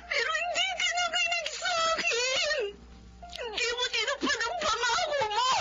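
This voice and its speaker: A wounded, resolute young Filipina in radio melodrama. Her voice is very high, with dull sound and music underneath. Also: moderate pace, pushed and bright, full character voice. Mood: hurt, emotional. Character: hurt, resolute young woman rejecting her lover. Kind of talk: Filipino radio drama acting.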